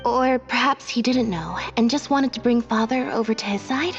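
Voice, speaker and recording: An actress playing a calm, reserved young woman in a video game, speaking in an understated, formal way. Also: a little high, moderate pace, dull audio, soft background music, natural voice. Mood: matter-of-fact.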